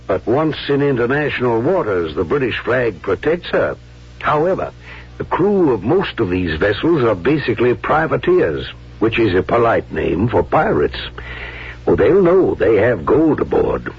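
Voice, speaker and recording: Very husky, weary statesman. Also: medium pitch, big pitch swings, normal speed, exaggerated voice. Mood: pondering, cautious. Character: weary, cautious older male Confederate president weighing risks. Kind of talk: 1970s radio drama acting. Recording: muffled vintage radio recording.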